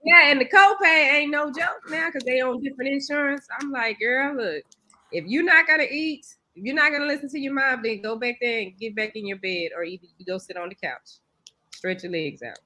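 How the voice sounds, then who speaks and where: A woman's voice: sits high, brisk. A woman co-hosting a morning talk show, telling a story and acting out the dialogue. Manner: animated storyteller, acts out dialogue. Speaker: show co-host. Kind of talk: casual storytelling chat.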